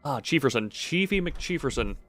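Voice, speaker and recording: Man, video game streamer, medium pitch, lively swinging intonation, casual commentary, rambling, playful, self-deprecating. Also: moderate pace, faint music behind. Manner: rambling, self-interrupting, thinking aloud. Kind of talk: casual live gameplay commentary.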